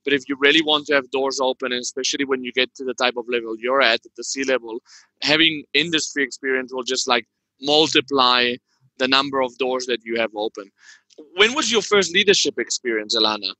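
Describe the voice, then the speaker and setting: Casting note: man, sharp and tense voice, dry close sound, conversational interviewer. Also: medium pitch, a little fast, no room sound, poor audio. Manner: conversational, encouraging backchannels. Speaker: podcast host.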